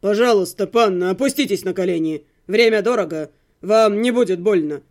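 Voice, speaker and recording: A woman as a lowered-voice, menacing male villain. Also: darkish tone, lightly acted. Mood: cold, menacing. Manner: deliberate, condescending, threatening. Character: cold, menacing male courtier and would-be killer.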